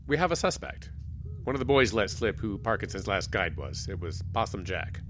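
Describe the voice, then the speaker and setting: An actor playing a calm, stubborn small-town sheriff who speaks patiently but firmly in a radio play. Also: male voice, lowish voice, normal speed, a little hiss or hum. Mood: steady. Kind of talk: radio drama acting.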